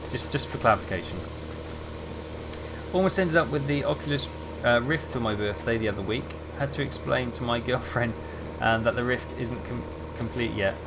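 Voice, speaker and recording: A man's voice: mid-range voice, moderate pace, background noise. A man who grew up in England chatting casually with viewers while he streams a game. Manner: relaxed, chatty, answers chat while playing.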